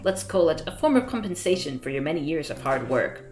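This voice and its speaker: A woman reading the lines of a calculating male company president in a visual novel, measured and formal. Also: low-pitched, sing-song, darkish tone, reverberant. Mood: cool, formal.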